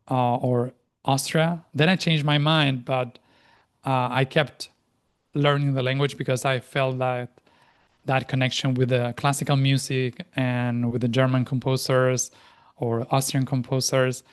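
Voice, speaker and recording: A man in his thirties from Argentina, a native Spanish speaker, talking reflectively as an interview guest with frequent restarts and pauses. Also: medium pitch, clear, resonant tone. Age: 30s. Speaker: interview guest, life and language coach. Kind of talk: casual interview conversation.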